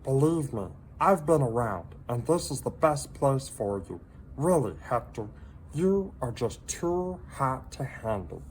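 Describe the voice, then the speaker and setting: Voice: medium pitch, very animated, a little slow, a little mellow, a little hiss or hum, voice pushed up. A reader voicing a small, loyal, world-weary male salamander in a children's storybook, with a dramatic know-it-all manner. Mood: frank, advising.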